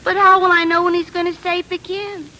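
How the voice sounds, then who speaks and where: An actress playing a naive, awestruck high-school girl with theatrical, breathless gushing in 1940s radio style. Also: little pitch movement, brisk, background noise. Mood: dreamy, worried. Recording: vintage 1940s radio recording.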